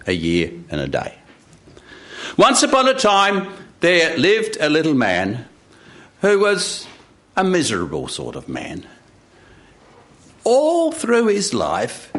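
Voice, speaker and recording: A male storyteller performs live in deliberate, short phrases. His pace is unhurried and his pitch swoops widely, giving the tale a dramatic shape. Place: radio studio with live audience.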